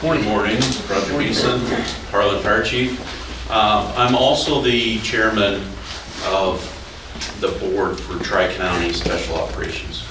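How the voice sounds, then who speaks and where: Deep-voiced man in an echoey room. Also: a little slow. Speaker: fire chief. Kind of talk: public hearing comment. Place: county meeting room. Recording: echoey room, distant mic, noisy.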